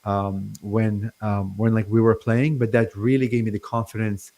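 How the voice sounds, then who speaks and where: A man in his forties, born in Lebanon, talking as a guest on a call-in interview; he stutters and speaks haltingly with many fillers and restarts. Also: fairly low pitch, level tone, mellow. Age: 40s. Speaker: guest channeler. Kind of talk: casual interview talk.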